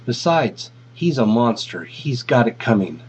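A man's voice: medium pitch, big pitch swings, a little slow, breathy edge. A man reading a piece of fiction aloud as scripted narration. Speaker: fan fiction reader. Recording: dull, lo-fi audio.

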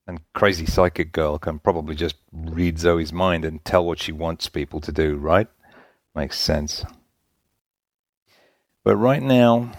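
A man's voice: low-pitched, dry recording, studio quality. A man narrating a scripted story written in a folksy vernacular, as an audiobook-style reader.

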